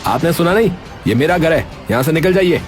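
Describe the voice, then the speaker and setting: A man's voice: fairly high pitch, animated, brisk, natural voice. Exasperated young village man, acted by a man, over music. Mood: defiant. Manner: indignant, complaining. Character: exasperated young village son, fed up, defiant. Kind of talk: Hindi cartoon story dialogue. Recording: music behind the voice.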